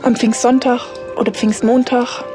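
Woman in her 30s, fairly high voice, scripted autobiographical narration, short deliberate phrases, clear music behind. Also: little pitch movement. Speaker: narrator telling her own life story.

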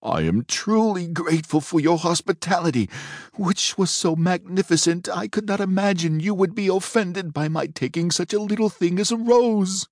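A man's voice: very animated, slow pace, no room sound, studio quality, exaggerated voice. A man reading a storybook aloud, voicing a frightened middle-aged merchant who pleads in a raised, anxious tone. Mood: pleading. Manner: high pleading tone.

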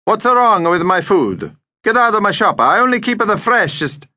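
A man's voice: sits high, sing-song, unhurried, pushed and bright, dry recording, studio quality, voice pushed up, full character voice. A man voicing a large, proud Greek shopkeeper in a storybook, effusive and hospitable, speaking in emphatic short sentences. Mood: indignant.

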